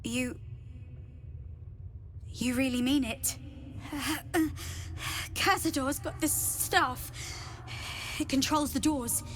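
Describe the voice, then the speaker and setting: A captive young female vampire spawn, starved and anguished, in a video game drama. Her voice is very high and tense. She speaks slowly, in halting, strained fragments. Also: a bit bright, slight background noise. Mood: faint hope.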